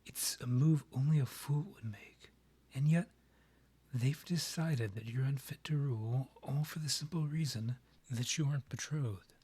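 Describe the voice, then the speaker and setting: A man acts out a proud vampire king in a fantasy audio roleplay. The voice is breathy and husky at a middling pitch. The phrasing is formal and measured, with a courtly, theatrical polish. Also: warm tone. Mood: indignant, sincere. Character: proud, courtly male vampire monarch, rival and ex. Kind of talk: scripted roleplay audio, ASMR.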